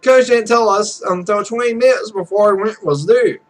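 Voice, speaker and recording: A cocky young male esports pro played by a man in a visual novel game. The voice is high and animated, telling things in a wry, joking storyteller's way. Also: normal speed, natural voice.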